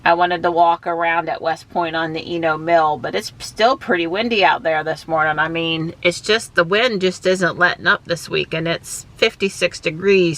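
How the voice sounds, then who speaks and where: A woman vlogging from behind the wheel of her car, chatting and thinking out loud. She has a stuffy nose from allergies. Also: sits low, creaky. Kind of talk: casual thinking aloud while driving. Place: inside a car while driving.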